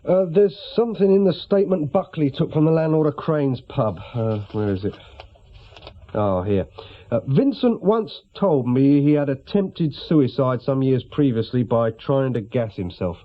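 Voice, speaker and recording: Man as detective sergeant for radio play, hesitant, deferential, medium pitch, slightly dull recording. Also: lively intonation, a little slow, natural voice. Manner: hesitant, respectful. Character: deferential, thoughtful police sergeant assisting his superior. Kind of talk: naturalistic British radio drama acting. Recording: muffled radio drama recording.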